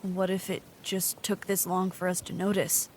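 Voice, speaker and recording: A woman's voice: lowish voice, monotone, normal speed, a little mellow, a little hiss or hum, close to an everyday voice. An actress playing an anxious, questioning traveler in an audio drama, earnest and hesitant in the way she talks. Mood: uneasy, earnest. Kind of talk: naturalistic audio drama acting.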